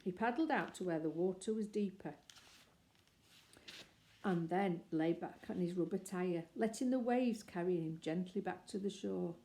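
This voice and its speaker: Woman narrator, mellow warm tone, gentle expressive children's storybook reading. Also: on the low side, normal speed. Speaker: storybook reader. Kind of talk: reading a children's chapter book aloud.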